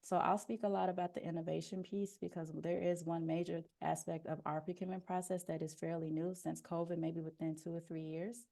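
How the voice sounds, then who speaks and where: A soft-spoken woman walks viewers through an informational webinar. Her voice sits a bit low and carries a gravelly fry. She explains steadily with plenty of "um"s, speaking through a headphone mic on a video call. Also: brisk, hardly any pauses. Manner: explanatory, steady, with frequent "um" fillers. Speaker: deputy procurement officer presenting a webinar.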